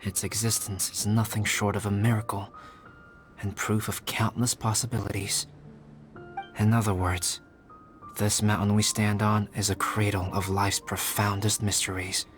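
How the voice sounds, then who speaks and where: A man playing a calm, scholarly young alchemist in a video game, speaking formally and with measured care, with music behind him. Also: fairly low pitch, natural voice. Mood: composed, intrigued.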